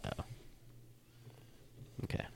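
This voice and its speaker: A man's voice: very slow, mellow, no echo. A young man with a nasal, stuffy voice, getting over a cold and chatting casually as a podcast co-host, rambling with lots of fillers. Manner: rambling, laughs often, filler-heavy. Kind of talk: casual chat.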